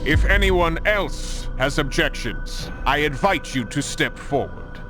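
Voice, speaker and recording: An actor playing a pompous, menacing Templar commander in a video game, scornful and theatrical with formal oratory. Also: male voice, fairly high pitch, lively intonation, takes it slowly, over music. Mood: mocking. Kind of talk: dramatic video game cutscene acting.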